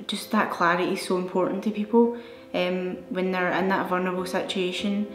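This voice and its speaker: A woman's voice: fairly low pitch, level tone, a little fast. A woman of twenty-one speaking plainly and earnestly as an interviewee. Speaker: young volunteer. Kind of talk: interview talk. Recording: roomy sound over soft music.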